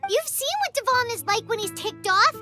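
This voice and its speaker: Squeaky, very high little fairy. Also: female voice, animated, moderate pace. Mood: indignant, alarmed. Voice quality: squeaky, childlike. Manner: exclamatory, sarcastic. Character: tiny, excitable, indignant childlike fairy companion. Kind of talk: cartoonish video game voice acting. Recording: music under the voice.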